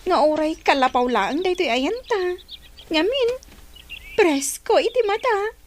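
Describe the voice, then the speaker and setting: An actress playing a practical, nagging young wife in a radio drama, chiding and coaxing with an Ilocano accent. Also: female voice, extremely high pitch, animated, a little slow, slight background noise. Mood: content.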